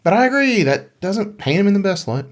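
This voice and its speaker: A man's voice: high-pitched, very animated, a little fast. A man co-hosting a gaming podcast, chatting casually and jokingly, putting some playful drama on anything he reads out. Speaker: podcast co-host. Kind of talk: casual conversational podcast chat about gaming.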